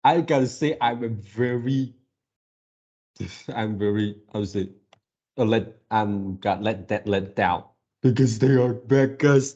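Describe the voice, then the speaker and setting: Male fan commentator, mellow and unhurried, halting delivery that circles back on words. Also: mid-range voice, highs cut off. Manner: halting, repeats words and searches for phrasing. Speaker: video creator reacting to a game series. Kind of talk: casual fan reaction commentary.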